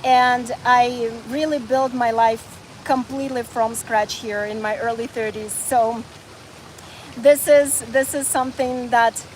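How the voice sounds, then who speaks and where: A high-pitched woman in her forties talks eagerly while strolling outdoors, her phone picking up a faint hum of traffic behind her. She chats to a live audience and often doubles back on her words. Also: steady tone, unhurried. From Ukraine, raised in North Carolina. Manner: enthusiastic, chatty, repeats words as she talks. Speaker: travel vlogger. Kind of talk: live-streamed walking travel chat. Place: outdoors in a city park and square.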